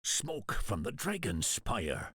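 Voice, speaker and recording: An actor playing a grave, loyal and cautious samurai advisor with a husky voice and a solemn manner in a video game cutscene. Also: male voice, lowish voice, huge pitch swings, takes it slowly, background noise, full character voice. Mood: earnest counsel.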